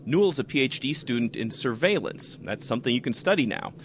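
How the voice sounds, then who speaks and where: Mid-pitched man doing conversational news reporting. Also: sing-song, a little fast, a little hiss or hum. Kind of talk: scripted narrative reporting.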